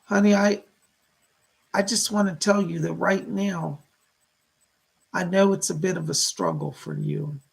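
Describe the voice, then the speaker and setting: A weak, remorseful dead man's spirit played by a male medium talks in a heavily creaking, breathy voice. He is halting, earnest and tender, straining to come through in a séance. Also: high voice, expressive, exaggerated voice.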